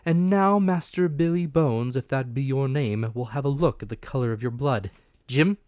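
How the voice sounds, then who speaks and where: A man voicing a brusque, commanding country doctor who speaks emphatically and deliberately in a storybook reading. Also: medium pitch, a little mellow, no echo, pitched above the performer's own voice, close to an everyday voice. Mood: brisk.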